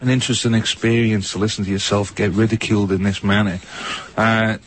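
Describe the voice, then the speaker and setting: A man's voice: fairly low pitch, level tone, a little fast, slight background noise, rough recording. Teasing Scouse man on community radio. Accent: Liverpool (Scouse). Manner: teasing, mock-boastful. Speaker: radio host of another show. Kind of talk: playful radio segment.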